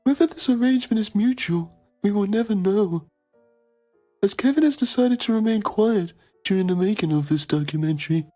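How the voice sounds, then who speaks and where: Man playing a mellow, pompous documentary presenter. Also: sits high, animated, normal speed, soft background music. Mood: grave, pompous. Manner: solemn, pompous. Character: self-important male television documentary journalist, solemnly intrigued. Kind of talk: mock documentary narration.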